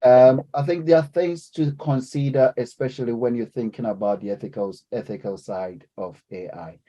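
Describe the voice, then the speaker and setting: A man's voice: medium pitch, fairly even intonation, normal speed, warm tone, muffled recording. A man lecturing and leading a class discussion, hesitant, with many fillers and restarts.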